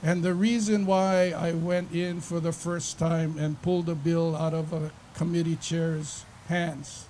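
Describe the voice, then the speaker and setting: A man's voice: a little high, little pitch movement, a little slow, hardly any pauses, mellow, slight background noise. A man, a state legislator, giving an impassioned speech at a bill signing, stressing his points through lists.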